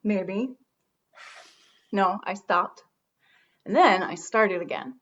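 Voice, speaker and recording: Casting: actress for a sardonic, regretful woman radio host, natural middle pitch, comedy radio drama, slightly dull recording. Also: takes it slowly, a bit bright. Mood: wry. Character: wry, tipsy woman radio show host, regretful and lonely.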